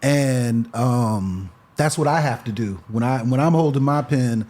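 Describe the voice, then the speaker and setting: A man's voice: medium pitch, a little slow, a little mellow. A man who writes raps, a podcast guest, speaking earnestly and reflectively. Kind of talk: casual chat.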